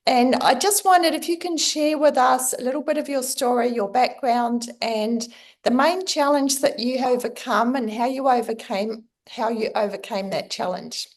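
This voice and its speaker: A woman's voice: on the high side, normal speed. A woman hosting an interview podcast, asking her guest questions in an encouraging way. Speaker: podcast host and coach. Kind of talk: interview host, scripted intro.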